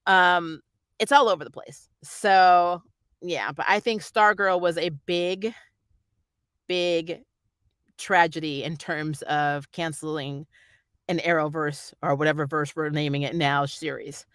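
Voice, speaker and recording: A reviewer with a bright, forward voice at a middling pitch. The delivery is casual, slangy and opinionated, rambling along with mild exasperation. The sound is dry, with almost no room in it. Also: fairly even intonation, slow pace, clean tone, dry recording. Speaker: YouTube TV series reviewer.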